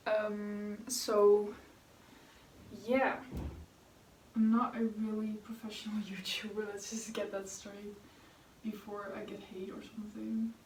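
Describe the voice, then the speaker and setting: A woman's voice: medium pitch, flat delivery, takes it slowly, long pauses, a little mellow, echoey room, recorded from a distance, slight background noise, rough recording. A young woman from the Netherlands with a Dutch accent, chatting casually and rambling while she paints for her vlog. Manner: chatty and rambling, with fillers and self-corrections. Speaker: vlogger.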